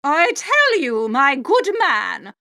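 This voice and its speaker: A woman's voice: high voice, wildly swooping pitch, pushed and bright, no room sound, clean studio sound, exaggerated voice. A woman playing a haughty older aunt, snobbish and easily flustered, in a comic storybook reading. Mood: indignant, haughty. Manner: snobbish, emphatic, drawn-out delivery.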